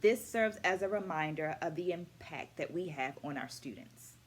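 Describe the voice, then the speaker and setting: Lower-pitched middle-aged woman, noisy recording. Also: animated, normal speed. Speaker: host, dance educator. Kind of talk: scripted video book review to camera. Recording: clearly noisy room ambience.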